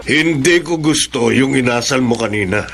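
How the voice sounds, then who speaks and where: A man's voice: mid-range voice, animated, moderate pace, vocal fry, over loud music. An actor playing a stern, exasperated man of the house in a Filipino radio soap, scolding firmly with a Filipino accent. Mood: stern, threatening. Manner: scolding, firm, confrontational.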